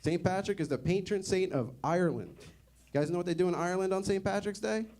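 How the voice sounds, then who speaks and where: A man's voice: high-pitched, a little fast, a little mellow, slight background noise. A young man doing a stand-up comedy set in a conversational, sardonic way, often asking the audience questions. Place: comedy club stage.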